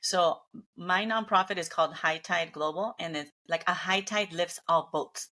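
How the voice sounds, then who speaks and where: Earnest, bright-voiced woman guest. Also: on the low side, moderate pace. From Mexican family, grew up in Los Angeles. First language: Spanish. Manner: earnest, upbeat, motivational. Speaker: guest, speaker and entrepreneur. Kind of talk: casual interview.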